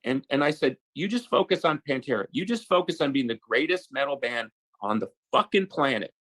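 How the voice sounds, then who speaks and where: Husky man telling a sweary anecdote. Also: medium pitch, expressive, fairly quick, a bit bright, rough recording. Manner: emphatic, sweary, acts out quoted dialogue.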